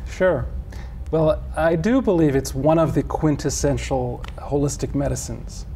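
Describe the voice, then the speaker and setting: A middle-aged man from Switzerland talks in a mellow, warm tone, laying out his answers in a measured, explanatory way and often restarting phrases. He sits for an interview as a physician and homeopath, with a faint hiss underneath his voice. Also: on the high side.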